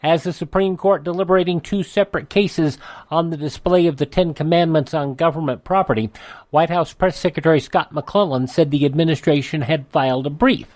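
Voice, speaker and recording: A man with an American accent hosting a radio show, reading an on-this-day almanac with chatty asides and drawn-out names. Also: a little high, a little mellow, dry recording. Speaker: radio host. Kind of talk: scripted radio almanac narration. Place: radio studio.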